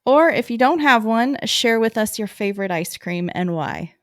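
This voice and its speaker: A woman's voice: on the high side, expressive, moderate pace, no room sound, clean studio sound. A woman hosting an interview in a friendly, upbeat casual chat. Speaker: podcast host.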